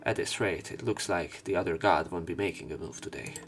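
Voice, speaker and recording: Deep, gravelly man narrating a game. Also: fairly quick, soft background music. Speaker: let's play narrator and commentator. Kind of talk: expressive read-aloud narration of a visual novel.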